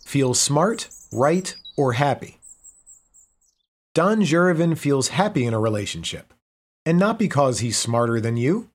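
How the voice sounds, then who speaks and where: A man reading a prepared text aloud as narration, pausing between the items of each list. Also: mid-range voice, sing-song. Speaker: reader. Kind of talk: scripted narration.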